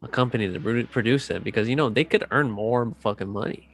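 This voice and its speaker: A man in casual chat, speaking in a halting, slangy, opinionated way. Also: medium pitch. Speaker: podcast co-host. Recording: music under the voice.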